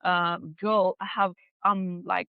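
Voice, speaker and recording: A woman's voice: on the low side, flat delivery, slow, gravelly, smooth, no room sound, narrow, tinny audio. A woman in her thirties, English not her first language, co-hosting a casual chat with non-native grammar and repeated words as she searches for phrasing. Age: 30s. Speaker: podcast co-host.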